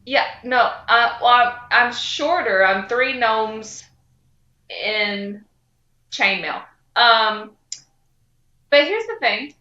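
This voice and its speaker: A woman voicing a fibbing little girl adventurer in a tabletop game, pleading and bluffing haltingly, in a roomy, slightly dull recording. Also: a little high, lively intonation, bright, forceful tone, heavily acted. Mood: wheedling.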